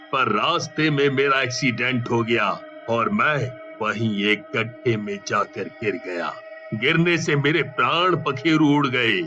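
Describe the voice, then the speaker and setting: A man's voice: medium pitch, sharp tone. A man voicing a vengeful, self-pitying ghost of a bald man in a cartoon horror story, menacing and aggrieved, in a dull recording.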